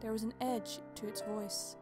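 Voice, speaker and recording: A woman's voice: fairly high pitch, little pitch movement, normal speed, loud music behind the voice. A woman reading a scripted story aloud in a dramatic bedtime-story style. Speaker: story narrator.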